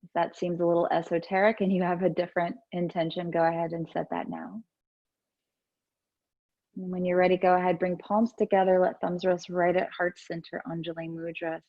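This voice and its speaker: A woman yoga teacher leading gentle breath work and movement in a soothing, unhurried way, with pauses between instructions. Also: lowish voice, vocal fry, highs cut off.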